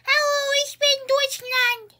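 A woman voicing Germany as a plaintive, whiny comedy character with drawn-out words. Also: very high-pitched, little pitch movement, pushed and bright, voice pushed up, full character voice. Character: Germany as a plaintive, high-voiced, slow country character. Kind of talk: improvised comedy character skit.

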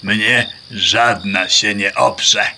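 A man's voice: on the low side, steady tone, very slow, creaky, pushed and bright, a little hiss or hum, heavily acted. A man voicing a vain, pompous, self-assured rooster in a children's audio play. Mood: smug. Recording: faint outdoor cricket ambience.

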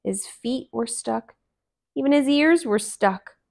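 A woman in her thirties reads a children's story with a high, very lively voice. Her delivery is slow, deliberate and expressive. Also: gravelly. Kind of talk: children's read-aloud narration. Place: small living room, on a couch.

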